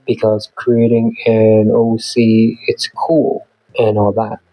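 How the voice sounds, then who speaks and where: Husky-voiced man recovering from flu. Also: fairly low pitch, no room sound, muffled recording. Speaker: beginner artist and channel host. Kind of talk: scripted weekly progress narration.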